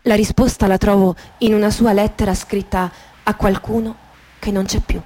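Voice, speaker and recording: A woman gives a dramatic literary reading in the manner of a radio theatre monologue, intimate and poetic, with deliberate pauses. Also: medium pitch, flat delivery, warm tone, slight background noise. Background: music with guitar and sound design.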